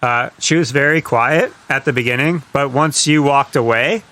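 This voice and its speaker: A man's voice: mid-range voice, moderate pace, bright, forceful tone. A man co-hosting a podcast, chatting casually with wry profanity and acting out imagined quotes. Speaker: podcast co-host.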